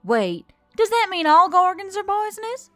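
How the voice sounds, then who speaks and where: A woman's voice: very high-pitched, very animated, normal speed, sharp tone, no echo, faint music behind, pitched above the performer's own voice, full character voice. A woman voicing an eager, curious human woman in an audiobook, full of questions. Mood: surprised. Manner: eager, inquisitive.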